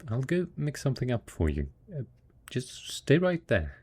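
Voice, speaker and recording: A man playing a devoted, patient husband in an intimate post-apocalyptic audio roleplay, coaxing slowly and hesitantly in a soft, husky voice. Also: mid-range voice, wildly swooping pitch. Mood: indulgent. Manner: slow, coaxing, hesitant, talking as if to a child. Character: devoted, patient husband tending zombie spouse after apocalypse.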